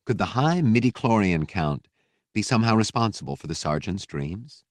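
A man reading a fiction audiobook as narrator, with measured, dramatic storytelling delivery. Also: on the low side, lively intonation, dry recording.

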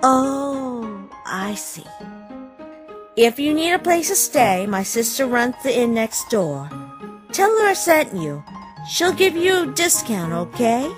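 A woman voicing a friendly, motherly rabbit shopkeeper, chatty and welcoming, in an animated comic dub. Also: on the high side, takes it slowly, soft background music. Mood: chatty, reassuring.

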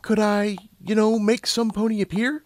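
A man's voice: sits high, little pitch movement, moderate pace, voice pushed up, natural voice. A man voicing an anxious, sceptical young female unicorn pony with a hesitant, questioning, earnest delivery.

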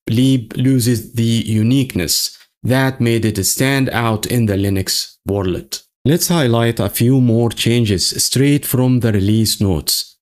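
A man in his forties giving a scripted tech review from a small room, speaking in a slow, measured and deliberate way. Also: lowish voice. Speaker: tech YouTuber. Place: small room at a desk, gaming chair.

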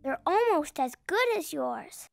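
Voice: sits high, very animated, unhurried, gravelly, heavily acted. A performer voicing a little boy animal kindergartner in a children's cartoon, with music playing behind. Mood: gentle.

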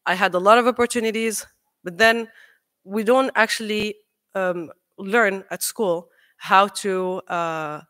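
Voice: mid-range voice, bright, forceful tone. A young adult from Morocco, giving an earnest, persuasive conference talk from a podium, with frequent ums and uhs. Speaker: conference speaker, engineer. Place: large auditorium stage. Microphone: podium microphone.

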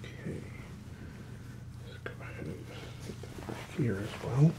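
A man in his thirties doing a soothing ASMR roleplay in a soft, breathy whisper and murmur, gentle and reassuring. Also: fairly low pitch, animated, measured pace. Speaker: ASMR performer as hairdresser. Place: small indoor room set up as a salon.

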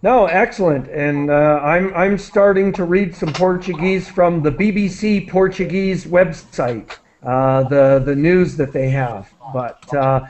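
A man's voice: sits high, darkish tone, a little hiss or hum. A man who is a native English speaker, chatting casually and encouragingly with students as their conversation teacher on a video call, with many fillers. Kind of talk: casual online class chat. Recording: muffled video-call audio.

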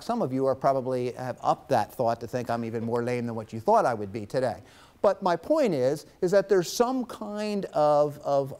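A man in his fifties giving a lively guest lecture to college students in a classroom, teasing his audience as he talks into a lapel mic. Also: mid-range voice, clear, resonant tone. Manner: animated, gestures widely, teasing the audience.

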